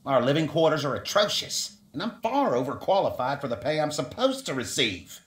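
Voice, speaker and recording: An actor playing a smug, arrogant miner boss in a video game, talking down to the listener in a pompous, mocking way. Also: male voice, fairly high pitch, big pitch swings, fairly quick, echoey room. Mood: indignant, pompous. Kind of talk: video game character acting.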